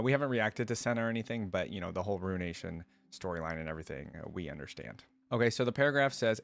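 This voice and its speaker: A man hosting a reaction video, mixing informal, rambling commentary with reading a story aloud. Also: fairly low pitch. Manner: informal, rambling asides with frequent hedges.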